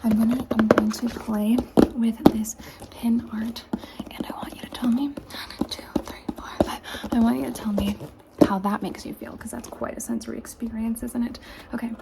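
A woman whispering softly through an ASMR roleplay, asking slow, repeated questions close to the camera mic. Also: on the high side, little pitch movement, vocal fry. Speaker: ASMR creator.

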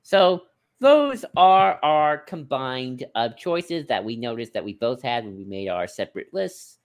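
A man's voice: mid-range voice, sing-song, measured pace. A man co-hosting a podcast in casual chat, informal and stop-start, often hedging and slipping into asides. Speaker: podcast co-host. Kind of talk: casual chat, discussing book picks.

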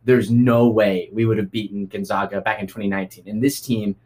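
A man's voice: lowish voice, fairly even intonation, brisk, darkish tone. A man on a casual sports chat, weighing his views with a lot of "I think". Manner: thoughtful, hedging with "I think". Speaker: panelist.

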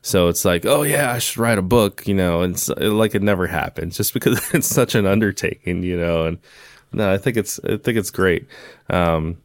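A man with a very deep voice hosts a casual podcast. He talks in a loose, joking, conversational way. The sound is dry, with no room echo. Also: normal speed. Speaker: podcast host.

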